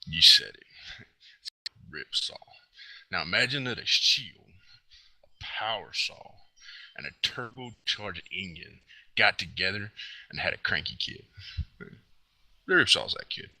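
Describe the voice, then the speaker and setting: A man playing a gruff, cranky non-human mercenary with a growling voice and slangy tough-guy talk, in a role-played interview. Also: expressive, unhurried, pauses often, sharp tone, exaggerated voice, poor audio. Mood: gruff, boastful. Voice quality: very deep gravelly growl. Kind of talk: in-character mock interview role-play.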